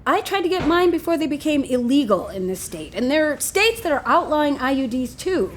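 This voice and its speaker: A woman's voice: high-pitched, big pitch swings, fairly quick, a little hiss or hum. A woman playing a flippant, unrepentant lover in an audio drama, with a breezy, casual, needling manner. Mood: defensive, earnest. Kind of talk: naturalistic audio drama acting.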